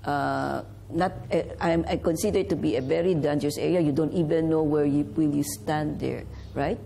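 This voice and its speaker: A woman's voice: deep voice, fairly even intonation, normal speed, gravelly, mellow, slight background noise. A woman hosting an interview, asking questions and prompting her guest. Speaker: interview host.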